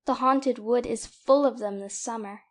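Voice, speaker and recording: A woman playing a cheerful, dreamy young woman in a dramatic audiobook reading of a classic novel, with expressive, wistful delivery. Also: fairly high pitch, normal speed, lightly acted. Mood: bright, light.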